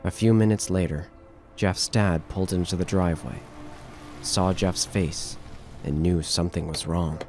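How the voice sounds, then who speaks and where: A man's voice: deep voice, fairly even intonation, normal speed, a little mellow, faint music behind. A man reading scripted narration for a horror audio drama.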